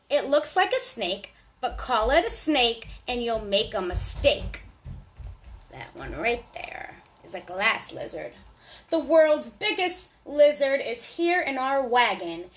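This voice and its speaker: A woman with a high voice reads a picture book aloud to young children. Her delivery is slow and sing-song, and she pauses for small asides to the kids listening. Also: fairly bright tone. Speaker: children's storytime host.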